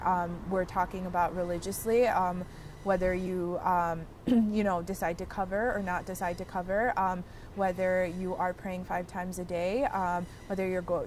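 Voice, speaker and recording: Young woman from michigan, lowish voice, lots of ums, kinda far from the mic w/ cars going by. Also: a little hiss or hum. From Michigan, USA. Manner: hesitant, many filler ums, earnest. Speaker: interviewee, medical student. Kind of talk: casual interview answers.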